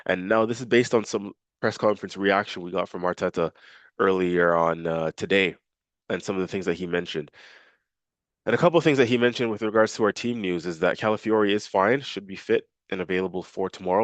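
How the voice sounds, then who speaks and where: Fan channel host, male, low voice, stuffy nose, casual rambling delivery, dry close recording. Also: fairly quick, no echo. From Canada. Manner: casual, filler-heavy, repeats himself. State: congested, nasal from a cold. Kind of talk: football match preview talk.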